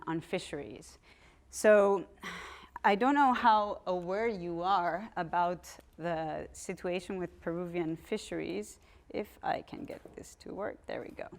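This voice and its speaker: A woman from Costa Rica giving a public lecture, talking in a conversational way with frequent ums and restarts. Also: fairly low pitch. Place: lecture room.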